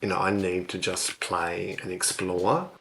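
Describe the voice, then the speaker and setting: A man's voice: sits low, steady tone, measured pace, gravelly, fairly bright tone, slight background noise, rough recording. A man reflecting on his own craft as a maker, in documentary interview style, with thoughtful, hesitant phrasing. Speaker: textile maker. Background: music.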